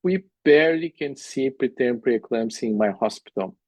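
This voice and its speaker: A man's voice: medium pitch, expressive, normal speed, dry recording. A middle-aged man from Brazil with a Brazilian Portuguese accent, giving an earnest medical presentation in non-native phrasing with frequent "uh" fillers. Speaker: obstetric specialist presenting at a workshop. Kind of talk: conference presentation with slides.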